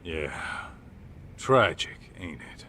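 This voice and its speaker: An actor playing a gruff middle-aged ex-gangster turned detective in a video game dub, talking bluntly and wearily in informal, clipped phrases. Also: male voice, extremely low pitch, very animated, drawn-out words, pushed and bright, a little hiss or hum, heavily acted. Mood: uneasy, dry.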